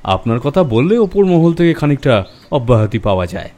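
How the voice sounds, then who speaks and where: A man playing a harried police officer in an audio detective drama, explaining things haltingly with sighs. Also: medium pitch, very animated, mellow, lightly acted. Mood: harried, apologetic. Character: harried male police officer reporting a baffling murder case. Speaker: actor in an audio detective story. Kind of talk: Bengali audio drama acting.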